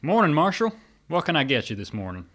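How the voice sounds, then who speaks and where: A man's voice: a little high, sing-song, brisk, fairly bright tone, slight background noise. A man playing a polite but bigoted Old West barkeeper in a radio serial. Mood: polite, firm. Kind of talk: western radio serial acting.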